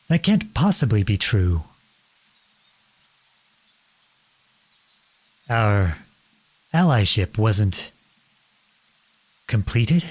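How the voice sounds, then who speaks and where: Man acting as a husky, slow-speaking defiant young prince. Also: on the low side, a little mellow, full character voice. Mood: pleading, shocked. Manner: earnest, deliberate, pleading and accusing. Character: defiant outlawed young prince confronting his cruel king father. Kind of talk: dramatic audio roleplay acting.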